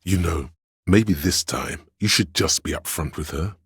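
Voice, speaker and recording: A profoundly low, husky male voice plays a sly, courtly inner counsellor in a video game. He narrates slowly and grandly, with heavy gravel in every phrase. The delivery is theatrical and scheming, like counsel given to a liege. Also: dry recording, studio quality, heavily acted. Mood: coaxing. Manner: grandiose, measured, dramatic.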